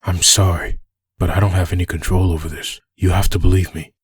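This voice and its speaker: A man playing a brooding, troubled man cursed with a living costume in a comic dub, with a raspy, husky voice. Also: deep voice, level tone, a little fast, no room sound. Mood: anguished, defensive. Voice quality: raspy, gravelly husk.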